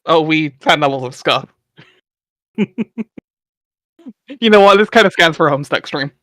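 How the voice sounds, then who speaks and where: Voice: medium pitch, moderate pace, bright, forceful tone, no echo, studio quality. A streamer hosting a dramatic reading, switching between narrating aloud and casual chat. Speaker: stream host and reader.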